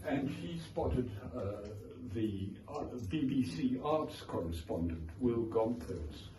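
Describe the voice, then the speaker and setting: A man's voice: medium pitch, animated, slow, dark tone. Wry South African man in an echoey gallery. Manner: anecdotal, digressive, wry. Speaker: author giving a launch talk. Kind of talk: informal speech to a crowd. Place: art gallery, indoors. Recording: echoey gallery, distant, noisy.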